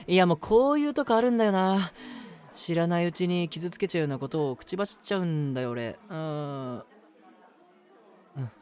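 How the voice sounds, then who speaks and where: A man's voice: sits high, expressive, normal speed, darkish tone. A man voicing an eager, easily flustered young man in love, chatty and self-deprecating, in a romantic audio drama. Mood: worried, apologetic. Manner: chatty, self-deprecating, earnest, hesitant asides. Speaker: voice actor.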